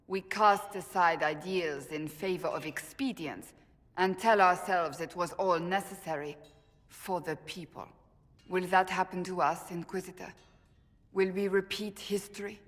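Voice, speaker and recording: A woman playing a stern, principled warrior in a fantasy game, speaking gravely and formally, shaken by betrayal. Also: lowish voice, steady tone, fairly bright tone, long reverb tail. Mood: troubled. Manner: grave, formal, deliberate phrasing. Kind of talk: dramatic fantasy game acting.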